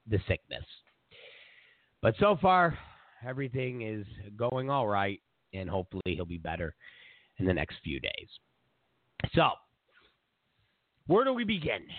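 A man's voice: mid-range voice, no echo. A man with a cold and a stuffy voice hosts a political talk show in a sarcastic, rambling way. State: congested from a cold. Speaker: show host. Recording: tinny phone-like sound.

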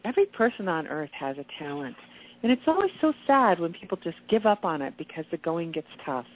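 A woman's voice: fairly low pitch, sing-song, a little fast, a little hiss or hum. A woman acting a gushing, theatrical singer who speaks effusively and affectionately, in a radio play. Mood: warm, sincere. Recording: tinny phone-like lo-fi audio.

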